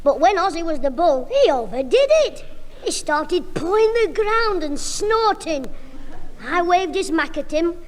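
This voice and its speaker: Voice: very high-pitched, expressive, a little slow. An actor playing a cheeky, scheming schoolboy with a Northern English accent in a 1960s radio comedy. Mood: glib excuses. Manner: cheeky, glib, Northern colloquial phrasing. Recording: hissy old radio comedy, reverberant hall.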